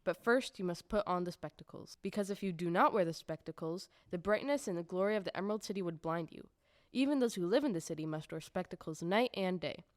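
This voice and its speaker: A woman's voice: fairly low pitch, expressive, quick pace, few pauses, no room sound, studio quality, lightly acted. A woman playing an officious little gatekeeper of a magical city in an audio play, formal, ceremonious and emphatic. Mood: proud, ceremonious. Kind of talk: stage-style audio drama acting.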